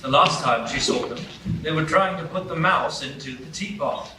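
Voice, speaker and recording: Man narrating a live radio play. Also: a little high. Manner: storytelling, measured. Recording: echoey stage from far off, noisy.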